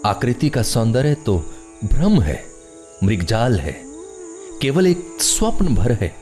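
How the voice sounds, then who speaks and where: A man's voice: mid-range voice, sing-song, takes it slowly, darkish tone. An actor playing an aloof, philosophical man who lives alone, speaking formal, literary lines in a radio play over music. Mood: lofty, philosophical. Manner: formal, literary, argumentative diction.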